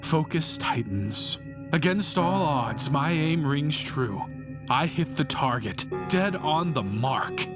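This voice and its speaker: A man playing a fanatical, grandiose killer who narrates his crimes in theatrical, measured verse, as in a dramatic poetry audiobook. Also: on the high side, expressive. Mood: grim triumph. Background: music behind the voice.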